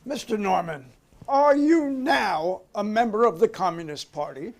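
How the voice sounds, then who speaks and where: A man's voice: high voice, animated, measured pace. A man playing a formal, insistent committee counsel questioning a witness in a dramatic reading of a 1950s hearing. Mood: prosecutorial, formal.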